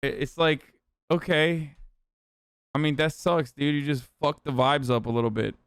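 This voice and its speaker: A man's voice: on the high side, steady tone, normal speed, clean tone, dry recording, clean studio sound. A young man from Turkey doing casual livestream commentary, ranting loosely and swearing for emphasis. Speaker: streamer reacting to a video.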